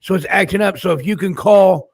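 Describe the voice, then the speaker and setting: A man hosting a live stream, chatting loosely and loudly, coaxing his guests and repeating himself. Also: high-pitched, fairly quick, vocal fry. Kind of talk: casual live stream chat.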